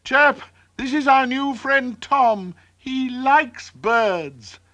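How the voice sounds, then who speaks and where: Storybook young bird, male reader: earnest, urgent, raised pitch, very animated. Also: normal speed, exaggerated voice. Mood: cheerful. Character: earnest, worried young bird, loyal friend.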